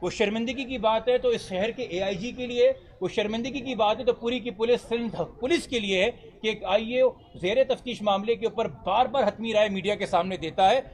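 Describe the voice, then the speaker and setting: High-pitched Pakistani man, formal and emphatic. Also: a little fast, hardly any pauses. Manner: articulate, formal, emphatic, mixing in English legal terms. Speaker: lawyer speaking to reporters. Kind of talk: press statement answering reporters' questions.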